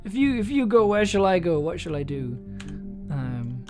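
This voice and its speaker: Livestream host, medium pitch, casual and halting, thinks aloud, music underneath. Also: very animated. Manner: hesitant, halting, self-interrupting, thinking aloud. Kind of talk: casual livestream chat while writing and reading aloud. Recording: music playing behind the voice. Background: music, computer keyboard typing.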